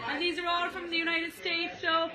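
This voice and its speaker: A woman presenting a lively segment as a dance teacher, cheering and teasing her group in a casual way. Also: very high voice, moderate pace. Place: hotel dining room with a crowd. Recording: crowd chatter in the background.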